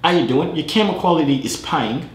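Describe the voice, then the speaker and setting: A young man hosting a comedy video, sliding between a loud, high-pitched mock British accent and a low, casual drawl. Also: expressive, fast, reverberant. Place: bedroom studio, indoors.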